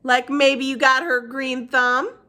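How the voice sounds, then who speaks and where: A woman voicing a kindly, wise old woman with poor eyesight and a drawling Southern accent, pitched high and loud. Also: level tone, slow, exaggerated voice. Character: old woman with bad eyes, warm and wise.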